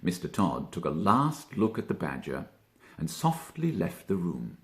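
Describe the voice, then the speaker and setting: A man reads a children's tale like a stage actor. His low, gravelly voice swoops widely in pitch. He is expressive and deliberate, stretching key words for effect. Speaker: storybook reader, stage actor. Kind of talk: theatrical children's story narration.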